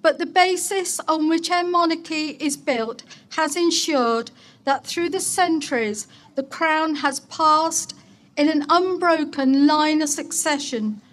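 A woman speaking as a mayor at an outdoor ceremony, reading a formal public address in a solemn, deliberate, declamatory manner. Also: high-pitched, a bit bright. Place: outdoor market place ceremony.

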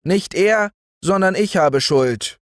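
An earnest young boy, bright and eager, speaking in a high, quick voice, as a man reading aloud puts him on. The sound is close and dry, with no room around it. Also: expressive, no echo.

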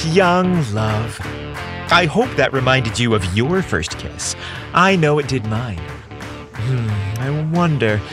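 A man hosting a podcast, reading a scripted outro in a playful, wistful storytelling manner. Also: medium pitch. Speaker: podcast host.

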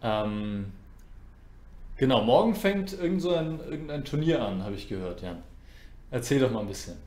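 A man's voice: mid-range voice, lively intonation, normal speed, darkish tone. Man streaming in an echoey room. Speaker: chess streamer and host. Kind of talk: casual livestream chat while playing chess. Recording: roomy, echoing sound.

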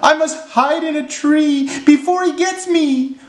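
Give this storybook elephant a man's performance with swooping pitch and an unhurried pace. He is big, gentle and apologetic, easily tipped into a flap, in a roomy, echoing recording. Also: sing-song. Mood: panicked. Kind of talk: rhyming children's storybook character.

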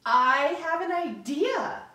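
A woman voicing an earnest male water bug leader from a children's storybook, speaking deliberately in a room. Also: high voice, lively intonation, bright, forceful tone, pitched above the performer's own voice, exaggerated voice. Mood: resolute. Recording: echoey room, distant microphone.

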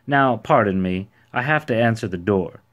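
A man voicing a proud, cheery storybook man who declares things with bright self-importance. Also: lowish voice, animated, moderate pace. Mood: happy. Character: proud, cheery, impatient ordinary man posing as a giant. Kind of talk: storybook character voice.

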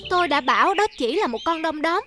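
A woman's voice: extremely high pitch, lively intonation, brisk, pushed and bright, soft background music, exaggerated voice. A woman voicing a blunt, know-it-all little bird in a children's cartoon, scornful and exclamatory.

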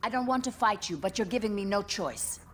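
An actress playing a cool, stern female spy in a video game, speaking in a measured way. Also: medium pitch, expressive, a little hiss or hum, close to an everyday voice. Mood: stern, reluctant. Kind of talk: video game cutscene acting.

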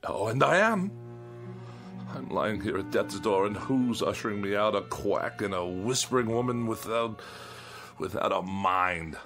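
Querulous male hypochondriac, radio drama; lowish, highly animated, self-pitying, music underneath. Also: unhurried. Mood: bitter, ranting. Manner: melodramatic, demanding, indignant. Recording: music behind the voice.

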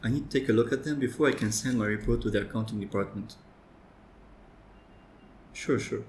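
A man's voice: on the low side, a little fast. A young man doing an office ASMR roleplay, talking in a businesslike way as he works at his desk. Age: young adult. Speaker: ASMR performer playing an office worker. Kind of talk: office ASMR roleplay, muttering while working through figures. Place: office desk by a large window, indoors. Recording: noisy, with constant clicking and rustling. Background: keyboard typing, clicks, steady noise.

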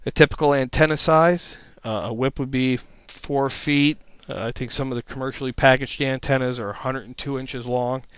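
A man's voice: medium pitch, steady tone, normal speed, few pauses, fairly bright tone, smooth, no echo. A man narrating an informal slide presentation as an instructor, explaining things in a plain-spoken, matter-of-fact way.

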